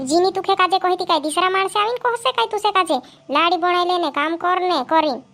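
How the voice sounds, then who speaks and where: A very high, piercingly pitched village mother from a cartoon comedy , acted by a woman. She nags and chides her son like someone set on getting him married. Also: fast, a bit bright. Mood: scolding. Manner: nagging, chiding.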